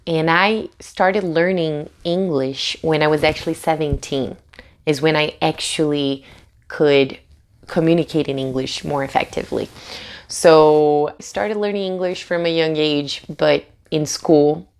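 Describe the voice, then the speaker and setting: Woman late 20s, low gravelly voice, chatty english teacher vibe. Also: fairly even intonation, unhurried. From Brazil. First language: Portuguese. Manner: chatty, with many fillers and restarts. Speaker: English teacher, channel host. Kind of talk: casual talk to camera.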